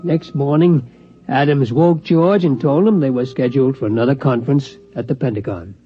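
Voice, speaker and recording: A man's voice: medium pitch, moderate pace, lightly acted. A man playing a storyteller who narrates a 1950s radio drama conversationally. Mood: matter-of-fact. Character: male storyteller recounting events in relaxed conversation. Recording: muffled old radio recording with music.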